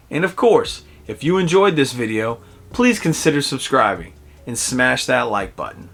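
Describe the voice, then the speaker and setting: A man in his early forties giving a casual, conversational video game review in a halting, reflective way. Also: fairly high pitch, a bit bright. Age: early 40s.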